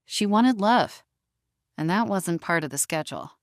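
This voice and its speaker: A woman narrator delivering scripted romantic drama in a measured, emotive storytelling manner. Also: medium pitch, animated, no echo, clean studio sound.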